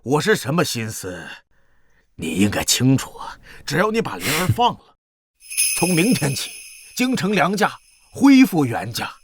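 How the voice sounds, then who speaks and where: Casting: gloating, pompous middle-aged merchant, costume drama, lively pitch swings, faint background noise. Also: male voice, unhurried, exaggerated voice. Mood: smug, taunting. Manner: sneering, pompous, theatrical. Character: arrogant, gloating rich grain merchant, cruel to the poor.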